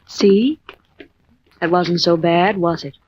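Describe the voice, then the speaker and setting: An actress playing a gentle, reassuring wife in an old radio drama. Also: female voice, on the low side, fairly even intonation, slow pace. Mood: gently reassuring. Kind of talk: 1940s radio drama acting. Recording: muffled, noisy old radio recording.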